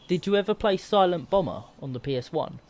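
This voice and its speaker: A man's voice: high voice, expressive, a little fast, darkish tone. Man in casual gaming podcast chat. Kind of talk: casual, animated group chat.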